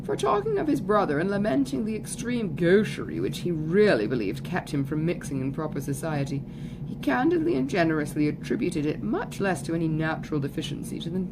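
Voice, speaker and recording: A woman reading literary fiction aloud as scripted audiobook narration. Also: deep voice. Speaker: audiobook reader. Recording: clearly noisy recording, background ambience.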